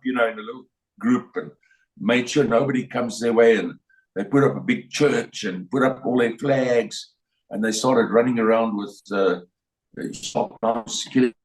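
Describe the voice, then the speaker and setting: A man's voice: lowish voice, far from the mic. An older South African man talks bluntly in an interview and loops back over his points with plenty of restarts. His voice is a little off the mic and the room rings around it. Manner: rambling, repetitive, blunt, with many restarts. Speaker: interview guest. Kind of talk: opinionated interview talk. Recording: roomy sound, voice a bit off-mic.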